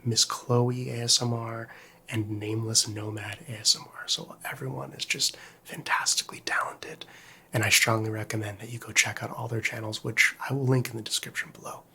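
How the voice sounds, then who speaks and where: A man speaking in a soft, breathy whisper, casually introducing an ASMR video to his viewers in a gently sincere, hesitant way. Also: medium pitch, slight background noise. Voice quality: breathy whispering, often unvoiced.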